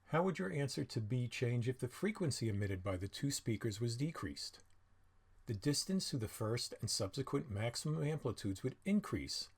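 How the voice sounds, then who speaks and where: A man's voice: medium pitch, sing-song, normal speed, slightly rough. A man narrating a lesson as a teacher, explaining step by step with informal asides and questions he answers himself. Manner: explanatory, poses questions then answers them. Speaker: physics teacher.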